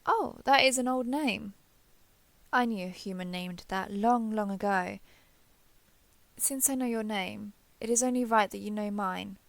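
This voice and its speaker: A woman playing an ancient, proud female dragon in human form in an audio roleplay, speaking in formal, measured, archaic phrasing. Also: mid-range voice, vocal fry, dry recording. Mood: warm, curious. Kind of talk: scripted audio roleplay acting.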